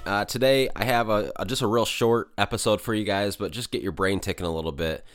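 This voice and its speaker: A man hosting a solo podcast, slightly hoarse from a cold, talking in a casual, rambling way with many fillers. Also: lowish voice, fairly quick, dry recording, studio quality, faint music behind. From Michigan. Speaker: podcast host.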